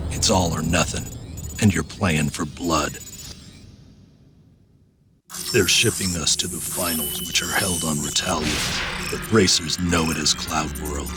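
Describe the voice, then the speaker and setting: An actor playing a tough, sardonic combat racer, narrating game briefings in a dry way over loud music. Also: male voice, sits low, close to an everyday voice. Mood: grim, confident. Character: gritty, sardonic male combat racer briefing his team. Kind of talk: scripted game cutscene narration.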